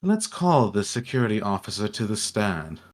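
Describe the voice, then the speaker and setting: A man voicing an exasperated, snide prosecutor in a courtroom game, halting and pointed when flustered. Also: lowish voice, big pitch swings. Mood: strained patience. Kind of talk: playful amateur voice-over of a courtroom game.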